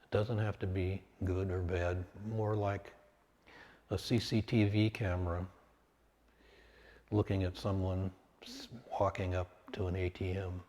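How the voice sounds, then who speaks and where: A man leading a guided meditation, speaking calmly and in measured short phrases with long pauses between them. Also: sits low, a little hiss or hum.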